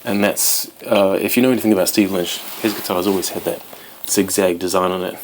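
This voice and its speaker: A man's voice: deep voice, steady tone, a little fast, a little mellow, a little hiss or hum, low-quality recording. A man in his twenties, born in Wales, chatting casually to camera as host of his guitar channel, rambling with many ums. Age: 20s.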